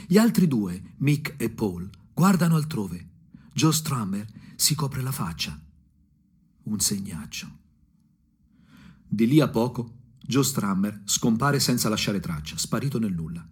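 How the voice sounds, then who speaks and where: Husky man, theatrical storyteller. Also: medium pitch. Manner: theatrical, ironic, staccato phrasing. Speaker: storyteller, actor. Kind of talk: dramatic first-person radio monologue.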